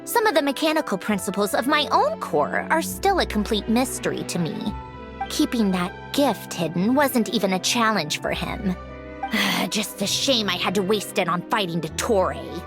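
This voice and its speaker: A woman's voice: mid-range voice, very animated, normal speed. A woman playing a proud, aloof living puppet inventor in a game, speaking in a composed, dry, explanatory way. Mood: proud, wistful. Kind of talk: scripted game dialogue. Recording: studio voice over background music.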